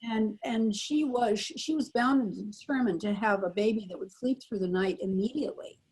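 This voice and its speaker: A woman's voice: mid-range voice, moderate pace, darkish tone, husky, rough recording. An older woman speaking casually as a panel guest, reminiscing on a video call. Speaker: panel guest, former radio actress.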